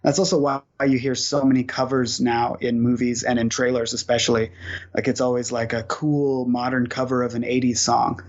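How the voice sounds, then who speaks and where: A gravelly-voiced man talks through how the business works, handing out practical tips in a relaxed interview. His pitch barely moves, keeping a level, even delivery. The recording sounds a little dull and muffled. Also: normal speed. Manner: explains industry practice step by step, giving practical tips. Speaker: guest filmmaker; musician. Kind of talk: casual interview talk.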